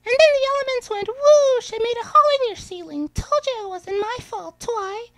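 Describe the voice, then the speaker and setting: A man's voice: very high voice, normal speed, no echo, pitched above the performer's own voice. A man voicing a hyperactive, gushing young female cartoon pony in a story reading. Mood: excited explaining. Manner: gushing, excitable. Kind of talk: dramatic fan-fiction reading.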